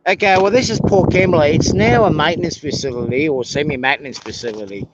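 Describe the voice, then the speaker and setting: A man's voice: a little high, fast, muffled recording, crowd noise. A man, hosting a live stream outdoors and casually explaining local rail history as he walks. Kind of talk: casual walking tour chat. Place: outdoors near a rail yard.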